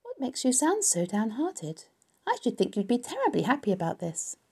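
Woman voicing an excitable high-pitched young boy piglet. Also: normal speed, breathy edge, heavily acted. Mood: earnest, excited. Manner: excitable, wide-eyed questioning. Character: eager, innocent young male pig, easily amazed.

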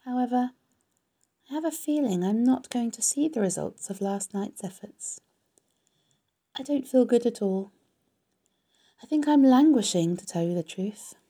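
A woman voicing a wise, motherly, tired female spider from a storybook, speaking softly in a measured, dignified way. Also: fairly high pitch, lively intonation, pitched above the performer's own voice. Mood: downhearted. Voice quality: weak, soft.

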